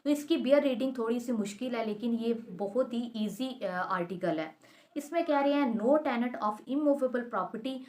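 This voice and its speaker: A woman explaining law as a teacher, mixing in English terms and reading quoted passages slowly and deliberately. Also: on the high side, a little mellow. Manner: switches between Urdu-Hindi and English terms, slow deliberate reading of quotations.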